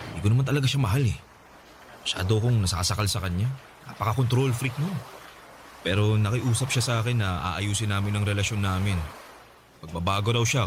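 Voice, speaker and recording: A man's voice: on the low side, background noise, natural voice. An actor playing a remorseful, lovelorn young man in a radio drama, pleading earnestly and hesitantly.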